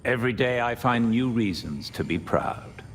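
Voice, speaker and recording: An actor playing a polite, dignified older butler with a formal, measured manner, in a game cutscene. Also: male voice, mid-range voice, expressive, lightly acted. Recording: background noise.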